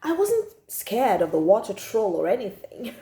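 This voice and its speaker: A woman's voice: mid-range voice, big pitch swings, normal speed, warm tone. A woman reading a children's story aloud expressively, drawing out key words for emphasis.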